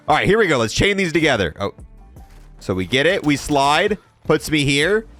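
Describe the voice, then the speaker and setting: A man's voice: mid-range voice, fairly bright tone. A man giving casual gameplay commentary as the host of a video game review.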